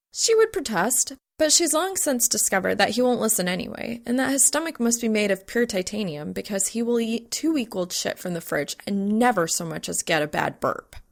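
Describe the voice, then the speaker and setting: A woman reading a scripted story aloud as narrator, with an expressive, dramatic delivery. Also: medium pitch, vocal fry. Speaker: fan fiction narrator.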